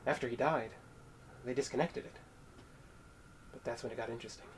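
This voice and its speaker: A man's voice: mid-range voice, brisk, a little hiss or hum, lightly acted. An actor playing a resentful, unhinged young man in a horror audio drama, with an accusatory, menacing manner. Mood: eerie, storytelling.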